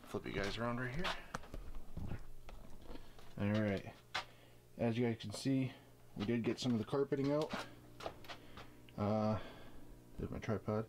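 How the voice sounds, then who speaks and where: A man's voice: fairly low pitch, background noise. A man in his thirties casually showing viewers around an RV, talking loosely with lots of fillers, into a handheld camera mic. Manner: loose, rambling with many fillers. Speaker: vlogger. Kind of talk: casual walkthrough vlog. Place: inside an RV, then outdoors on its roof.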